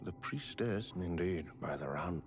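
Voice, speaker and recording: A man's voice: sits low, animated, measured pace. An actor playing a grave man who gives cryptic counsel in a fantasy video game, solemn and formal. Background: game music.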